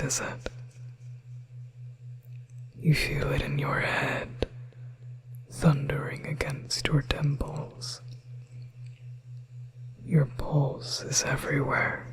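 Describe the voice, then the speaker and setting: A woman playing a possessive, manipulative female demon in a hypnosis ASMR roleplay, coaxing and soothing in a breathy whisper. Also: low voice.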